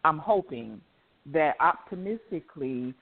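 A tired man with a slightly raspy voice speaks earnestly on a call-in show, pitched a touch high. He stammers and restarts as he works through his thoughts. The sound comes through a tinny phone line. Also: animated, normal speed. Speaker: co-host, guest doctor. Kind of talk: casual talk show over a phone line.

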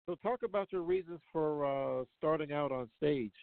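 Male radio host, slightly high pitch, casual interviewing, thin telephone sound. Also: normal speed, vocal fry, no room sound. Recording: tinny phone-line sound.

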